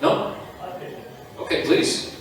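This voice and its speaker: A man moderating a conference panel, upbeat and brisk. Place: large conference hall. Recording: echoey hall, mic far off.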